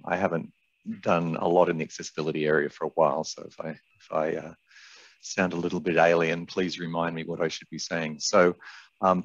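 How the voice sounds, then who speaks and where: A middle-aged man with an Australian accent, giving a conversational presentation over a video call with wry, self-deprecating asides. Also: deep voice. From Brisbane, Australia. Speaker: webinar presenter, sales director. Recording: muffled, roomy video-call audio.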